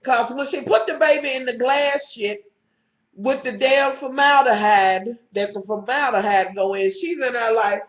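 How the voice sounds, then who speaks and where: A vlogger recaps a TV episode, nose stuffed up, and tells it like juicy gossip with plenty of swearing and "honey" thrown in. The voice sits at an ordinary middle pitch. The recording is slightly dull and lo-fi. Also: fairly even intonation, unhurried, reverberant. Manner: profane, gossipy storytelling that calls the viewer honey. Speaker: TV show reviewer. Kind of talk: casual episode recap vlog.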